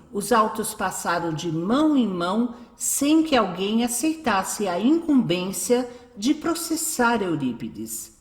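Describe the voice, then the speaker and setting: A woman giving scripted narration for a radio drama. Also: medium pitch. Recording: roomy echo, faint music behind.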